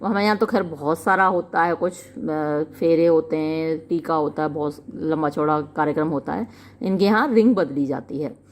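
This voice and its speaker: A woman teaching literature. She reads English lines slowly and then explains them in chatty Hindi. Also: deep voice, a little hiss or hum. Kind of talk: line-by-line play explanation in Hindi.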